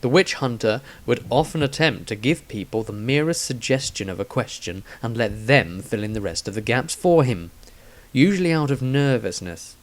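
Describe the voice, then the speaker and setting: A man's voice: mid-range voice, expressive, moderate pace. A man reading scripted narration of a fantasy story as its narrator. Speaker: author narrator.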